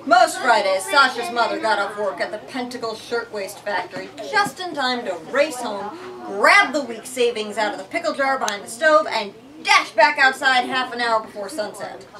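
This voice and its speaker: A woman giving a theatrical, expressive reading aloud in a bookstore, adding her own commentary. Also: fairly high pitch, normal speed, distant mic, a crowd in the background. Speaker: reader leading a bookstore literary talk.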